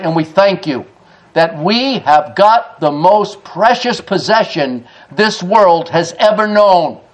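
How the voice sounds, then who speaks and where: A middle-aged man preaching a sermon in a church, emphatic, with quick chuckling asides. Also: sits high, rough recording. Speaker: pastor. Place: church sanctuary.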